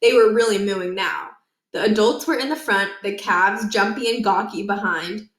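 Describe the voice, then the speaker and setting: A woman narrating a children's book aloud in an expressive, storytelling way. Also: mid-range voice, moderate pace. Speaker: storybook reader. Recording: roomy, echoing indoor recording.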